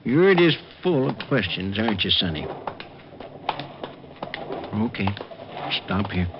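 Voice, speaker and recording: A man's voice: on the low side, very animated, slow, heavily acted. A sneering crooked barman. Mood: menacing. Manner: menacing, sneering. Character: gruff older male counterfeiter bar owner. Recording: noisy lo-fi old radio broadcast.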